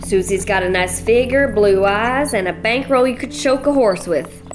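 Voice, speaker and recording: A woman's voice: mid-range voice, normal speed, music in the background, close to an everyday voice. A woman voicing a bossy, blunt, middle-aged widow from a cattle ranch, speaking. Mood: bossy, folksy. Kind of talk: radio play acting.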